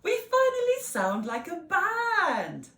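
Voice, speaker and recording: A woman's voice: very high-pitched, wildly swooping pitch, slow, bright, forceful tone, voice pushed up, exaggerated voice. A woman voicing a bossy, brash female band singer in a children's storybook, exclamatory and commanding. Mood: elated. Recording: roomy echo, distant microphone.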